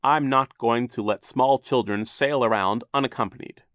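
A man's voice: medium pitch, normal speed, a bit bright, no room sound, lightly acted. Guy doing a blustery stern official type man from a storybook, pompous n emphatic, dry close sound. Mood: firm. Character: stern, blustering, officious adult male guardian.

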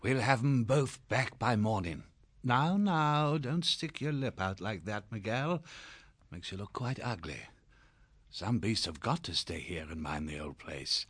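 A man's voice: on the low side, expressive, a little slow, a bit bright, breathy edge, no room sound, full character voice. An actor playing a jolly, laconic male hare officer with chummy old-fashioned slang. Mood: cheering.

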